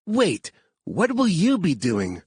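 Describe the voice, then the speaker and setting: A woman's voice: on the low side, wildly swooping pitch, takes it slowly, darkish tone, husky, no room sound, voice pushed up, heavily acted. A man putting on a wry young woman hacker. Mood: wry, breathless.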